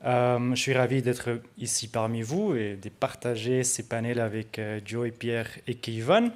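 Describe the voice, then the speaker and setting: A man's voice: medium pitch, level tone, a little slow. A man, not a native speaker of the language he speaks, giving a conference presentation as a researcher, hesitant and full of fillers. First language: not French. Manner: hesitant with many fillers, careful phrasing. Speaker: researcher presenting at a study day. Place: conference room.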